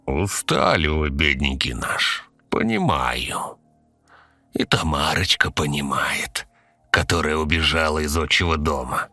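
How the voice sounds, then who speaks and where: A sinister undead conductor from a horror audio story, played by a man, speaking very low and slowly. He drawls in irony, falsely soothing and calmly threatening, with music playing behind him. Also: no room sound, heavily acted. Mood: sly, ironic. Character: sinister male undead train conductor, mockingly sweet, calmly menacing.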